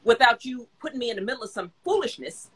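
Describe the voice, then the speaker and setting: Fast-talking emphatic woman in her thirties. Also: fairly high pitch, expressive, a bit bright, breathy edge. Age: 30s. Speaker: talk show co-host. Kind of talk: casual chat.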